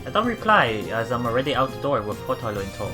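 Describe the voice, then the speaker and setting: A man hosting a let's play, reading game text aloud and adding casual, halting commentary with repeated words and exclamations. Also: medium pitch, very animated. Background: game music.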